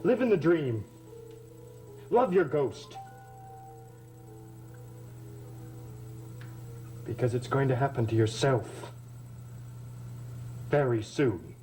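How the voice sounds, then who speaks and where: A man's voice: mid-range voice, lively intonation, slow pace, pauses often, warm tone. An actor playing a jealous, bitter young man in a 1960s soap opera, brooding and taunting, heard on an old TV soundtrack with organ music.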